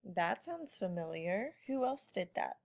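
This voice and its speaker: A woman with an average-pitched voice speaks as an elementary teacher in an informal lesson. She addresses young children directly, guiding them with questions and short comments. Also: sing-song, measured pace, vocal fry.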